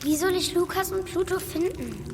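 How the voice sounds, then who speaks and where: A woman's voice: very high voice, lively intonation, normal speed, noisy background. A woman playing a curious, impulsive schoolgirl adventurer in a children's radio play, eager and earnest. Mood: troubled, earnest. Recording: reverberant cave-like space, music behind.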